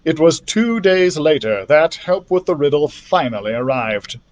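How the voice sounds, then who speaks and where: A man reading a children's book aloud as scripted narration, in an expressive storyteller's manner. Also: a little high, unhurried, lo-fi sound. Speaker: library storyteller.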